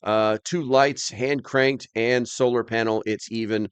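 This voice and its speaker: A man's voice: medium pitch, fairly even intonation, a little slow, clear, resonant tone, dry recording. A man hosting a live stream, chatting casually as he reads and answers comments in a rambling, plain-spoken, slightly grumbly way.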